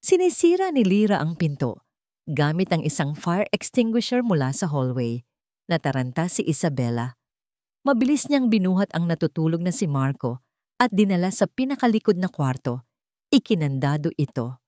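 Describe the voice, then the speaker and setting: Filipino woman narrating drama, lowish dry voice. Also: big pitch swings, moderate pace, mellow, no room sound. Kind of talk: dramatic scripted story narration.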